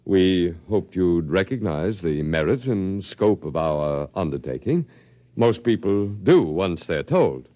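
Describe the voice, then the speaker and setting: An actor playing a calm, coolly reasonable elderly alien archivist in a 1950s radio drama. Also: male voice, sits low, slow, no echo, highs cut off.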